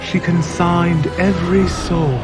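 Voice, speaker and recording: An actor playing a solemn otherworldly narrator in a game cinematic, grave, measured and portentous. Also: male voice, sits high, fairly even intonation. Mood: solemn, foreboding. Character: solemn otherworldly male narrator of cosmic lore. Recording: loud music under the voice.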